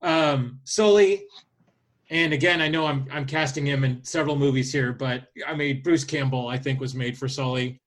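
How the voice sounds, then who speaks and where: A man co-hosting a casual podcast, speaking in a halting, hesitant way. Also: mid-range voice, fairly bright tone. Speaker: podcast co-host.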